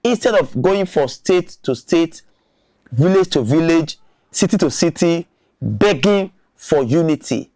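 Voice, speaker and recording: A man's voice: fairly high pitch, expressive, a little slow, a little mellow, no echo. A man giving argumentative opinion commentary, repeating words for emphasis. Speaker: online commentator.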